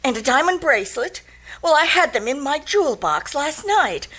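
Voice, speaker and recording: A woman's voice: sits high, sing-song, moderate pace, fairly bright tone, poor audio. An actress playing an anxious, flustered wife in a radio detective drama, hesitant and stammering as she pleads for help. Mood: nervous, explaining. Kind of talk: old-time radio detective drama acting.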